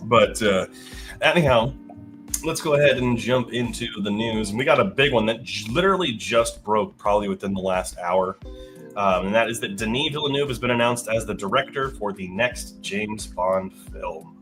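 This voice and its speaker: A man's voice: lowish voice, moderate pace, fairly bright tone, faint music behind. A young man with a congested, sinus-clogged voice, casually chatting movie news as a podcast co-host with lots of fillers and restarts. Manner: rambling, many fillers and restarts.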